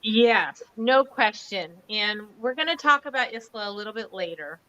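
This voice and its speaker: A woman's voice: mid-range voice, lively intonation, normal speed, sharp tone, muffled recording. A woman hosting a casual online show over a video call, chatty and gushing as she introduces her guest. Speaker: host.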